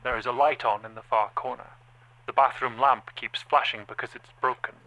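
A man's voice: mid-range voice, fairly even intonation, fairly quick, pushed and bright, lo-fi sound, close to an everyday voice. A man playing an anxious, watchful machine observer, narrating an audio drama in clipped, rhythmic phrases. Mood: hushed, somber.

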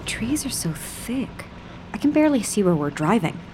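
A woman's voice: medium pitch, sing-song, normal speed, warm tone, a little hiss or hum, natural voice. An actress playing a terse, impatient female commander of a space mission in an audio drama. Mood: uneasy.

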